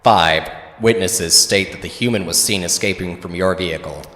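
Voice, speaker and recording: A man playing an officious, deadpan police interrogator in an audio comedy, speaking formally in an echoey, reverberant space. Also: deep voice, normal speed. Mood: deadpan, pressing. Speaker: voice actor. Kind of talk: audio comedy drama acting. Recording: echoey, reverberant room sound.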